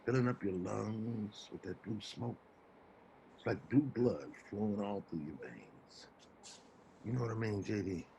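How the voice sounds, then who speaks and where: A man's voice: on the low side, animated, gravelly, mellow, poor audio. An actor playing an old homeless street man, wry and weary, rambling colloquially in a play reading. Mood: savoring, relaxed. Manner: colloquial, musing, drawn-out repetitions. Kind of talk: dramatic table reading of a play. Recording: faint room noise, video call.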